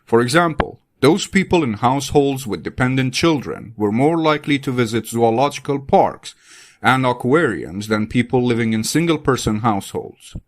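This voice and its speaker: A man's voice: medium pitch, animated, normal speed. A man reading formal educational passages aloud as scripted narration. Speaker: pronunciation practice narrator.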